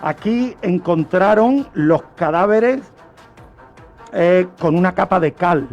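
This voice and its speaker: High-voiced Spanish man telling true crime. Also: slow pace, darkish tone, muffled recording. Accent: Spain. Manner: didactic, punctuated with rhetorical questions. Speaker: true-crime contributor on a radio programme. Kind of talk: explanatory crime storytelling in a radio conversation. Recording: music playing under the voice. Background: music.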